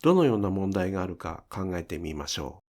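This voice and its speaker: A man's voice: low voice, big pitch swings, fairly quick, a little mellow. A man giving scripted instructional narration as a pronunciation teacher, enunciating carefully step by step.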